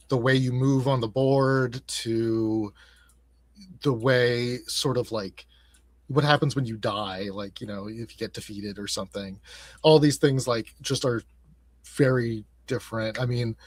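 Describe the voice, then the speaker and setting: A man with a medium-pitched voice talks casually as an interview guest. He thinks aloud in halting, broken phrases. The sound is close and dry. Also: no echo. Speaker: game designer, interview guest.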